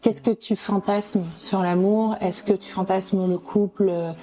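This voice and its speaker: A woman hosting a podcast, with a mellow, darker tone and an average pitch. She asks probing questions and responds to her guest with encouragement. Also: little pitch movement, measured pace. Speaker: podcast host. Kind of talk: interviewing a guest.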